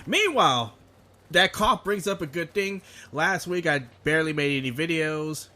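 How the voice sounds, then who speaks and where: A man from Texas talks through his gameplay in a chatty, rambling way, sounding nasal and under the weather with a slight cough. His voice is bright and a little high. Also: expressive, normal speed. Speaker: gaming video host. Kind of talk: casual let's play commentary.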